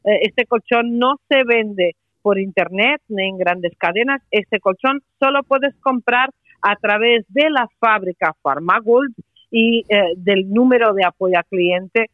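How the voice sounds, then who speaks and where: A woman with a Portuguese accent, a company representative making a persuasive sales pitch by phone on the radio, hesitating often with "eh". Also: unhurried, no echo. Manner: persuasive, many hesitations and "eh" fillers. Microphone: telephone. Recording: tinny phone line, dry.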